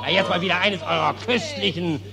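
An actor playing a brash, condescending German officer in a radio play, with a clipped, jovially arrogant manner. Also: male voice, high-pitched, a little fast, creaky, crowd noise. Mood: smug. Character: brash, condescending Prussian officer guest among Austrians. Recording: noisy old radio recording.

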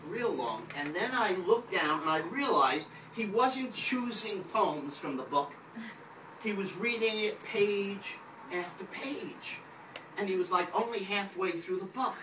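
An older man reads as a poet at a live event, with a bright, projecting voice and a wry manner. He takes his time over the words. He is heard from back in a small, echoey room. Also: high-pitched. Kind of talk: live poetry reading with wry introduction. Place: small crowded indoor venue, on stage. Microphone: distant camera from the audience. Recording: roomy, distant audience recording with background noise.

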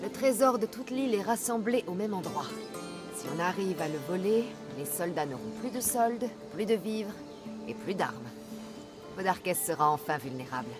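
Une actrice de doublage jouant une guerrière mercenaire posée et pince-sans-rire dans un jeu vidéo. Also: female voice, mid-range voice, animated, moderate pace, music in the background, natural voice. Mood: composed, determined. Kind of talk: dubbed video game acting.

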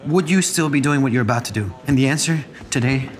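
A man's voice: medium pitch, quick pace, a little hiss or hum, natural voice. An actor playing a nervous young male student programmer who rambles awkwardly and tries too hard. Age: young adult.

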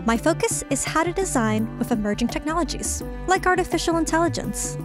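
A woman's voice: sits high, lively intonation, brisk. A woman in her thirties presenting as host, in a scripted, to-camera style. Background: background music.

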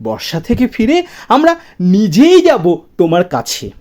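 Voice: medium pitch, wildly swooping pitch, a little slow, darkish tone. A poetry reciter voicing a capricious young cloud-girl, bossy and teasing, with a lilting delivery over music. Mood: brisk, consoling. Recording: music bed behind the voice.

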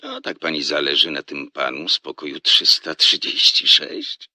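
An actor playing a courteous, nosy gentleman in a comic radio drama, formal and ingratiating. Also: very deep voice, wildly swooping pitch, moderate pace, no echo, exaggerated voice. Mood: curious, obliging. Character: courteous, nosy gentleman eavesdropper, smoothly obliging.

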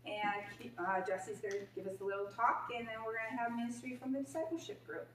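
A woman's voice: on the high side, fairly quick, slight background noise. An older woman making casual, joking church announcements in a sanctuary. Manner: chatty, joking, animated. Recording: echoey church from a distance.

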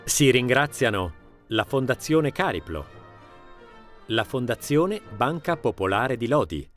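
Expressive male narrator with lively intonation. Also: medium pitch, a little slow, soft background music. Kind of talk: expressive scripted audiobook narration.

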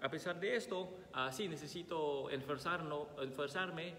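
Filipino priest w a bit of a cold, lots of ah's, bright voice, echoey church. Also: male voice, on the high side. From Philippines. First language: Tagalog. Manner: slow and halting, many "ah" fillers while finding words. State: slightly sick with a cold. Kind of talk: Gospel reading and homily at Mass. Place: large church, seated at altar. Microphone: fixed camera across the altar. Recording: echoey church with long reverb.

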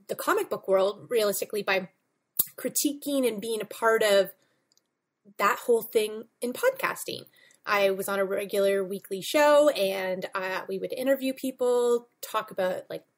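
A woman in her thirties talking casually and informally as a guest in an interview. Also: medium pitch. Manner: chatty, informal, peppered with "like". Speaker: podcast guest, comic book writer and editor. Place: home room with bookshelves, video call.

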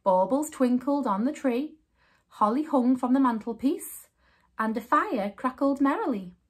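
A woman's voice: high voice, lively intonation, unhurried, breathy edge. A woman in her thirties hosting storytime, reading aloud to young children in a sing-song way.

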